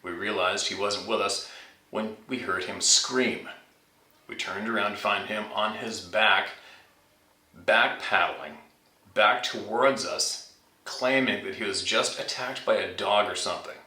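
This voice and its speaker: Male story-channel host, lowish pitch, informal read-aloud delivery, distant roomy recording. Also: measured pace, pushed and bright. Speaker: channel host reading out a viewer's submitted story. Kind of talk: reading a listener's scary story aloud, informal. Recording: roomy echo, distant from mic.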